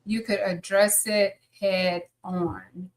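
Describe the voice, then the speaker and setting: Slow-talking, effusive woman in her fifties. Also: mid-range voice, fairly even intonation. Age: 50s. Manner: chatty and effusive, encouraging. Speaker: podcast host. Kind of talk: live podcast interview.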